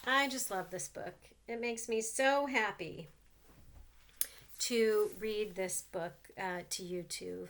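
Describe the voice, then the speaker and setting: A woman reading a picture book aloud to children, gently pausing to ask them questions about the pictures. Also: medium pitch.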